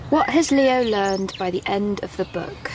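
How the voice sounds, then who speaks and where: A woman's voice: mid-range voice, unhurried, background noise, close to an everyday voice. An actress playing a patient, frank mother tutoring her child in a naturalistic radio drama. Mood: patient, firm.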